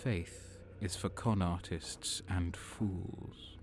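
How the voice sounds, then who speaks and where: A deep-voiced, cynical renegade soldier, acted by a man, tells his story slowly and broodingly. He is on edge and sometimes drops to a whisper, in audio drama style over music. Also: steady tone. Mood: cold, wary. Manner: slow, brooding, breaking into whispers. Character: cynical, faithless deserter soldier, sadistic and on edge. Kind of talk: audio drama first-person narration and dialogue.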